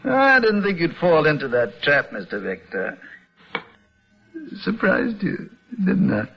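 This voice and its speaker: An actor playing a sadistic, pompous chess-obsessed villain in an old-time radio drama, with mocking, precise, theatrical diction. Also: male voice, fairly high pitch, wildly swooping pitch, slow, vocal fry, exaggerated voice. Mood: mocking, gloating. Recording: muffled old radio recording with music.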